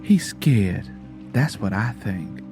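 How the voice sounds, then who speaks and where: A man's voice: fairly low pitch, lively intonation, slow, music in the background, voice pushed down. A man voicing a frank, world-wise mother from the American South, telling a family tale in a folksy, plainspoken way. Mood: frank, grave.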